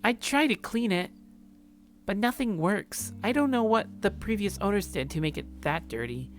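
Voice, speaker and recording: A timid young woman from a visual novel, acted out by a man, speaking in a high, hesitant voice. She is anxious and soft-hearted and tends to stammer, with music behind her. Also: animated, moderate pace. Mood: embarrassed.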